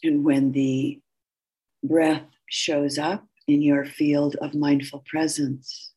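Female meditation teacher: gentle, husky delivery, very even pitch, unhurried phrases with long pauses between them. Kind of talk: guided meditation instruction.

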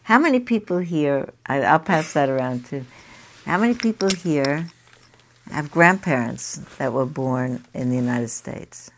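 An older woman teaching a group, asking many quick questions in a chatty way. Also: sits low, lively intonation, a little hiss or hum. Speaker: meditation teacher leading a group. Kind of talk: informal group discussion.

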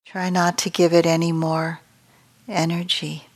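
Slow, even-toned woman guiding meditation. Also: fairly low pitch. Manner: gentle, unhurried with long pauses. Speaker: meditation teacher. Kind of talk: guided meditation.

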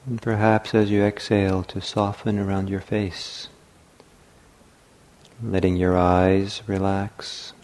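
A man with a deep, mellow voice guides a meditation. He speaks very gently, in short phrases separated by long pauses. His delivery is markedly slow and unhurried, like a teacher leading a practice. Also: flat delivery.

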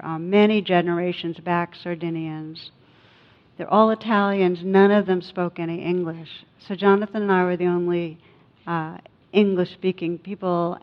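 A woman giving a meditation talk as a teacher, speaking in a reflective, conversational and gently instructive way, with personal anecdotes. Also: fairly low pitch. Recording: dull, muffled lo-fi sound.

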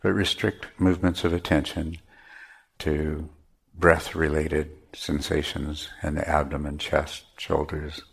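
Deep husky guy leading a guided meditation, lots of pauses, measured instructions. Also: flat delivery. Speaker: meditation teacher.

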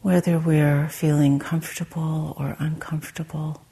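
Woman, meditation teacher, low mellow voice, unhurried, breathy and gentle, long pauses. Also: level tone. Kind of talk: guided meditation.

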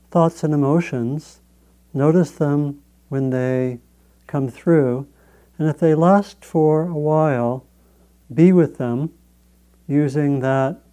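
A man guiding a meditation as a teacher, speaking in a measured, gentle way with long pauses between phrases. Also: mid-range voice. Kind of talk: guided meditation instruction.

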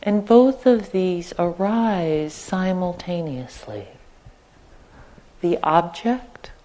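A woman teaching guided meditation, speaking gently and leaving long pauses between short phrases. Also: fairly low pitch. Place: meditation retreat center.

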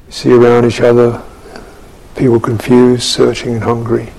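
A man giving a contemplative guided meditation as a Buddhist teacher, speaking in measured phrases with long pauses. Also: medium pitch, monotone, vocal fry, a little mellow, a little hiss or hum, low-quality recording. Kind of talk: guided meditation and dharma talk.

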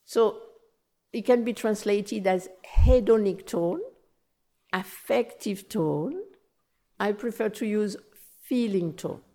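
Soft-toned woman with a French accent. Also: mid-range voice. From France. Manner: gentle, explanatory, repeats phrases, slow in places. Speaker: meditation teacher. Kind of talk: dharma talk. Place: meditation center.

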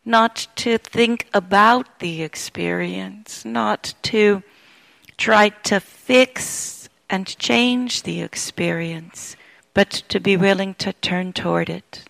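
A woman teaching meditation, giving gentle, unhurried guided instructions with long pauses between phrases. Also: mid-range voice, vocal fry, no room sound, rough recording.

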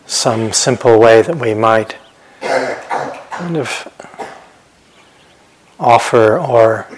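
A man giving guided meditation instructions as a teacher, speaking gently and unhurriedly with pauses and phrases that trail off softly. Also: medium pitch, slight background noise. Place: meditation retreat center.

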